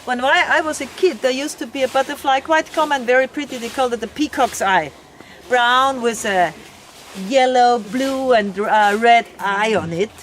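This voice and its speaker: A woman with a fairly high voice explains butterflies to visitors as she walks them through an outdoor rainforest butterfly house. Her speech is clear and explanatory, and it warms up when she talks about species disappearing. Steady outdoor noise runs underneath. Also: normal speed. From Austria. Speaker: sanctuary founder giving a tour. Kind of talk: guided tour explanation.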